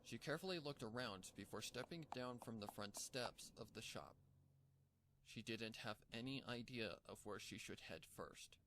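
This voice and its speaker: A man's voice: mid-range voice, animated, moderate pace, fairly bright tone, slight background noise. A man reading a horror story aloud as a dramatic narrator. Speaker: fan fiction narrator.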